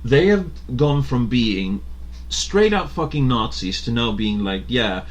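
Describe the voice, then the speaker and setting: A man's voice: medium pitch, lively intonation, slow, poor audio. A young man from Sweden hosting a casual podcast chat, talking bluntly, swearing freely, and hammering his points home.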